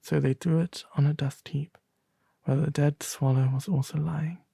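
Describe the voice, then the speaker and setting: A man playing a melancholy, genteel owl demon prince, reading a storybook aloud in a soft, refined, breathy ASMR voice. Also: a little high, little pitch movement, normal speed, no room sound, heavily acted. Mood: gentle, sombre. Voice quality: breathy, soft, often half-whispered. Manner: refined, gentle, formal diction.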